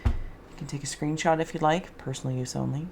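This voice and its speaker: A woman hosting an improvised spiritual card and scrying reading, soft-spoken, with a dreamy, meandering, alliterative way of talking. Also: low-pitched, steady tone, brisk, creaky, slight background noise. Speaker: host, tarot and scrying reader.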